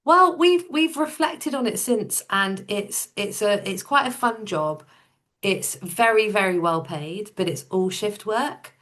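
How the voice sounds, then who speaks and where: A woman's voice: mid-range voice, expressive, a little slow, fairly bright tone. A woman guest on a podcast, telling her own story in a casual, matter-of-fact, informal way.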